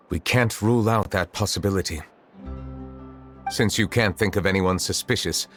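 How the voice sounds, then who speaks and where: A man voicing a stern, composed male chief justice in a video game, speaking in a measured, formal and grave way. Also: low voice. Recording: game audio with faint music behind.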